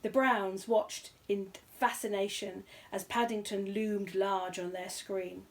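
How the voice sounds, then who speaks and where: A woman's voice: mid-range voice, level tone, slow pace. Woman narrating a children's story.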